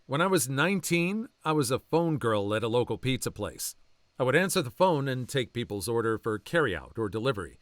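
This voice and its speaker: A man reading online stories aloud as a channel narrator, with a lively, swooping delivery. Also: mid-range voice, a little fast, dry recording. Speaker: story narrator.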